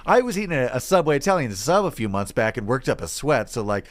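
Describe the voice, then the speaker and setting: An American man in casual comedic podcast chat, telling stories in an animated way, acting out remarks and swearing casually. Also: medium pitch, quick pace. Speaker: podcast co-host.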